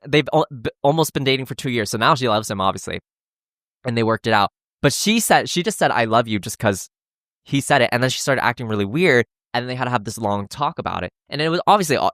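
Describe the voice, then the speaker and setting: A young man talks casually as a podcast host, wandering through his thoughts with frequent restarts. His voice sits at an ordinary middle pitch. The sound is dry and close, with no room echo. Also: a bit bright. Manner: rambling and candid, heavy use of "like", with frequent restarts. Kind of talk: casual chat about dating.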